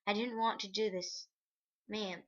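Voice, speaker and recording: A woman's voice: mid-range voice, steady tone, unhurried, natural voice. A woman voicing a stern male soldier who speaks in a formal, firm way, with barely any change from her own voice. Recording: lo-fi recording.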